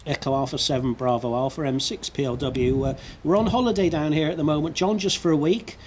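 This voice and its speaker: Higher-pitched British man, noisy outdoor recording. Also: steady tone, brisk, darkish tone. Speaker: amateur radio operator. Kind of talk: casual radio contact and outdoor video commentary. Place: outdoors on a seaside rooftop. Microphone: video camera near the speaker. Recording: outdoor rooftop with clear background noise.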